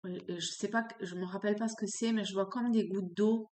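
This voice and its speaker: A woman with a cold doing an unscripted tarot reading, pausing often and searching for words. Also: mid-range voice, steady tone, a little mellow, reverberant. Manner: halting, searching for words with many fillers. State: has a cold. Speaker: tarot card reader.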